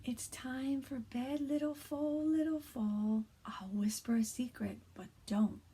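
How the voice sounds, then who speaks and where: A woman teacher in her thirties reads a bedtime board book to kindergarteners, gentle and encouraging. She speaks slowly, in an unhurried way. Her voice is husky and breathy, and it often sinks into a hush. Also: high voice. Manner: soft, hushed reading, often whispering; gentle and encouraging to young children. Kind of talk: read-aloud of a bedtime picture book.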